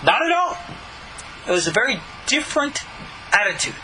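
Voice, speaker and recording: A man's voice: extremely high pitch, big pitch swings, normal speed, background noise. A man, a rabbi, teaching scripture in an earnest, explanatory way, raising his voice on key points. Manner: earnest, explanatory, rising emphasis on key words. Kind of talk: recorded Bible study lesson.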